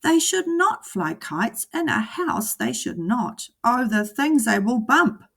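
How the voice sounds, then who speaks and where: A nervous, bossy pet fish from a children's storybook, voiced by a woman reading aloud. The pitch jumps up high with urgency, and each warning is pressed out emphatically with a slightly husky edge. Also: sing-song, slow, fairly bright tone, full character voice. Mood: alarmed protest. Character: anxious, scolding male pet fish.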